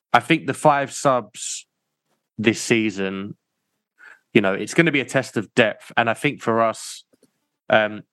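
A man's voice: fairly low pitch, a bit bright, no echo. Hesitant man thinking aloud. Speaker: podcast co-host. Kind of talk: casual football chat.

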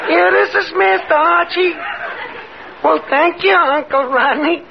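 Squeaky gee-whiz little boy. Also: unhurried, vocal fry, over a noisy crowd, exaggerated voice. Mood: sweet, eager. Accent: American. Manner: wide-eyed, gushing boyish politeness. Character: gee-whiz thirteen-year-old boy, sweetly polite, faked by a grown man. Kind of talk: 1940s American radio comedy acting. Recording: dull, very noisy old radio recording.

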